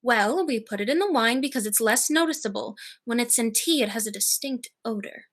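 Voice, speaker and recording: A teenage woman playing a mild, cheerful elderly aunt, genteel and serenely matter-of-fact, in a high school radio play. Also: lively intonation, a little fast, fairly bright tone. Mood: serene, dismissive. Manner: genteel, placid.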